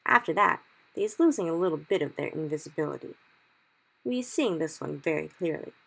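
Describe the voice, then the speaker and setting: A woman's voice: deep voice, expressive, brisk, vocal fry, exaggerated voice. A woman voicing a big, friendly male giant who mangles his grammar and cries out in anguish over a caught nightmare. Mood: earnest. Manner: ungrammatical made-up words, loud anguished exclamations.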